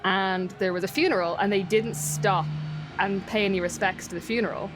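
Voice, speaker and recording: A woman's voice: mid-range voice, steady tone, moderate pace, background noise. An Irish woman chatting casually as a guest, telling stories in a wry, self-deprecating way. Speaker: podcast guest. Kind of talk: casual storytelling chat.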